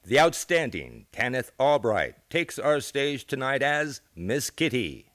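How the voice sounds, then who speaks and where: A man's voice: medium pitch, sing-song, slow, a bit bright. A man announcing in scripted old-time radio style, with grandiose, theatrical showmanship. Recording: live theater show with music.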